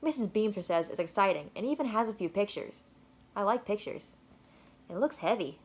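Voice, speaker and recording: A woman reading a children's storybook aloud with playful, expressive narration. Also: medium pitch, a little fast, husky. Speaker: storybook reader.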